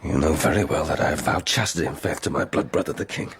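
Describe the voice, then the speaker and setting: An actor playing a proud, pious, hot-tempered knight in a radio drama, with intense, declamatory delivery. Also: male voice, rumbling low, quick pace, fairly bright tone. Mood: hushed, tormented.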